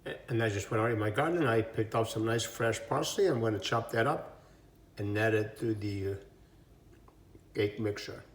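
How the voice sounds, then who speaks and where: A man's voice: on the low side, moderate pace. A middle-aged man from Portugal, a chef giving a rambling home cooking demonstration full of fillers and restarts.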